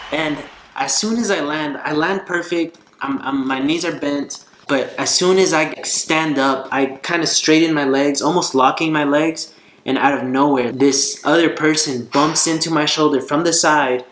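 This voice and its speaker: A man's voice: on the high side, fairly bright tone. A man co-hosting a vlog, casually telling a personal story. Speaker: vlog co-host. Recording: echoey room with faint music.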